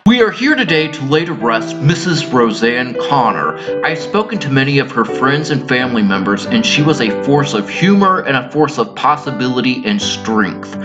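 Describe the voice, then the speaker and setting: A man's voice: medium pitch, big pitch swings, normal speed, hardly any pauses, highs cut off, music in the background, natural voice. A man voicing a solemn funeral officiant delivering a formal, declamatory eulogy in an amateur cartoon parody.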